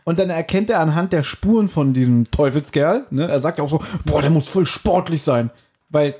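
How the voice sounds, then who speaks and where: A man's voice: on the high side, normal speed, dark tone, husky. A man in a casual podcast chat, prompting and teasing his co-hosts as he retells a story. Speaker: podcast co-host. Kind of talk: casual chat, retelling an audio drama episode.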